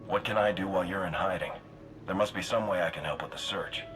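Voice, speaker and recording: Heroic game soldier: very low, firm, direct, score playing behind. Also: male voice, fast, fairly bright tone. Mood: steady, concerned. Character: confident, steady male soldier hero. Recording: clear music behind dialogue.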